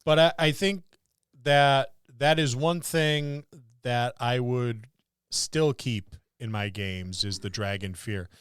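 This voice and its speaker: A man's voice: mid-range voice, takes it slowly, fairly bright tone, clean tone, no echo, studio quality. A man co-hosting a podcast, talking casually about running tabletop games. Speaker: podcast co-host. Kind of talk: casual tabletop gaming discussion.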